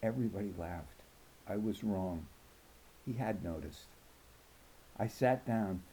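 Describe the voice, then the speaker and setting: A man reading a children's novel aloud in an expressive storytelling style. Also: lowish voice, slow, slight background noise, poor audio. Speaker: audiobook reader.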